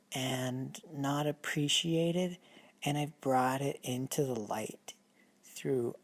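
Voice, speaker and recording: Woman acting as amateur poet, very deep for a woman, extremely slow, halting, earnest, solemn, improvised radio comedy. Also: darkish tone, slightly rough, exaggerated voice. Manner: hesitant, halting, solemn recitation. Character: nervous amateur poet, earnestly defending found poetry.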